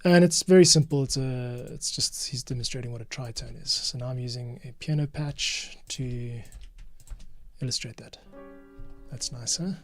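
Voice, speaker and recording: A man's voice: mid-range voice, normal speed, no room sound, faint music behind. A man casually talking through his work as an audio editor, muttering to himself as he goes. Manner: thinking aloud, muttering to himself. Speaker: podcast editor. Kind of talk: casual behind-the-scenes commentary while editing audio.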